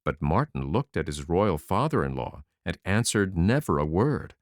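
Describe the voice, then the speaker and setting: Animated low-voiced man reading a fairy tale. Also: moderate pace, dry recording, clean studio sound. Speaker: audiobook narrator. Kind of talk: expressive fairy-tale narration.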